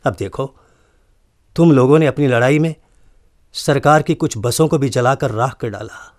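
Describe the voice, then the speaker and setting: Man acting an earnest, slow-speaking college principal. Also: medium pitch, a little mellow, natural voice. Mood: earnest, conciliatory. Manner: emphatic rhetorical questioning with deliberate pauses. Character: earnest, non-violent college principal, pleading and reproachful. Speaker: actor. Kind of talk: radio drama acting.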